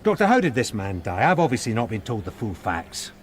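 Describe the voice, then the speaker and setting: An actor playing an irritable, overworked police sergeant who barks impatient, blunt questions in a radio drama. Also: male voice, on the low side, sing-song, brisk, slight background noise. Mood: angry, bossy. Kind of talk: naturalistic radio drama acting.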